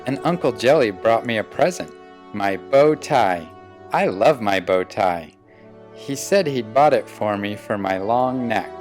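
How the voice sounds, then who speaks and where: A man voicing a proud, loyal young boy pelican in an earnest, expressive children's picture book read-aloud. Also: lowish voice, a little slow. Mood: fond. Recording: music behind the voice.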